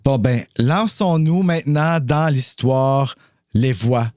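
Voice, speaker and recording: A man's voice: mid-range voice, slow, a little mellow, no echo. A man with a Quebec French accent chatting informally and jokingly as host of a podcast among friends. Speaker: host and editor of a fiction podcast. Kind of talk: casual chat among friends.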